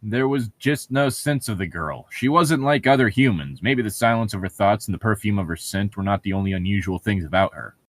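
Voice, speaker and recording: Low-voiced man reading aloud, sarcastic and irreverent. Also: animated, fairly quick, few pauses, no echo. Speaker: co-host reading a novel aloud with commentary. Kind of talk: reading aloud with casual joking commentary.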